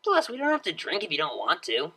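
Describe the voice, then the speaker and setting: A woman's voice: fairly low pitch, wildly swooping pitch, fairly quick, bright, forceful tone, breathy edge, heavily acted. A woman voicing a brash, laid-back young unicorn mare DJ in a pony story, with a casual, slangy, offhand manner. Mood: cheeky, teasing.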